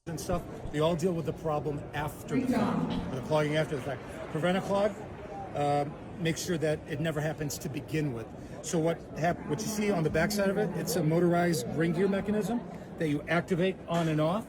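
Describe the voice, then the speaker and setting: A man pitching his own invention to a street interviewer, explaining step by step with frequent uhs. His voice sits slightly high. Traffic noise is clearly heard behind him outdoors. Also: a little fast. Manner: eager, explanatory, filler uh. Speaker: product inventor.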